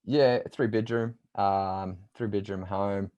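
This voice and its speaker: Low-pitched, matey New Zealand man. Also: steady tone, a little slow, dark tone, clean tone, dull audio. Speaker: interviewer and sales coach. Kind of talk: casual conversation.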